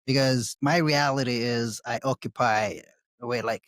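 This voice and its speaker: A man from Tanzania, a Swahili speaker, talking as a guest on a podcast in a measured, reflective way. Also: medium pitch, steady tone, a bit bright, no room sound. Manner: measured and reflective, pausing to pick words. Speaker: podcast guest, social work scholar. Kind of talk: conversational interview. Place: live recording at a conference.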